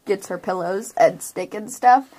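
A woman's voice: medium pitch, a little slow. A woman playing a tabletop roleplaying game, talking in a casual, playful way. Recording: very noisy recording with clicks.

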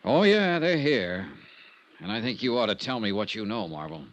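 Lively, wry hardboiled investigator. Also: male voice, on the low side, normal speed, lo-fi sound. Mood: pressing. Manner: wry, probing. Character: cool, wry, persistent male insurance investigator. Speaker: lead character and narrator. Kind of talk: 1950s hardboiled radio drama acting. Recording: noisy 1950s radio recording.

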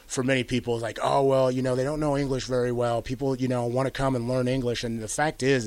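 A middle-aged man hosting an interview, speaking earnestly and reflectively with many "you know"s. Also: medium pitch. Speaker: host. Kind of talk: radio interview conversation.

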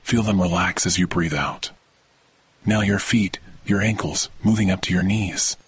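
A man hosting a guided sleep meditation, speaking in a soothing, measured, scripted delivery. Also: fairly even intonation, husky. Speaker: meditation podcast host. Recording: thunder ambience under the voice. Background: thunder rumbles.

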